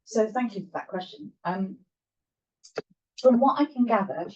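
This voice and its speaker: A woman's voice: mid-range voice, animated, unhurried, a little mellow. A woman on a lecture panel answering a question in a thoughtful, hesitant way, correcting herself as she goes. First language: not Spanish. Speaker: panel speaker. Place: lecture room. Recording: distant mic, muffled lo-fi sound.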